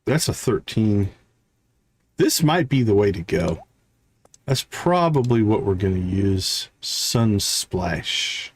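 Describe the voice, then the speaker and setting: A man livestreaming a game, thinking aloud in casual commentary and reading item stats in a deliberate, musing way. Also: mid-range voice, a little hiss or hum.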